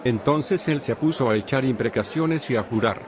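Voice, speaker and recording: A man narrating a dramatized scripture reading in a steady, formal storytelling manner. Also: mid-range voice, moderate pace, a faint crowd, slight background noise.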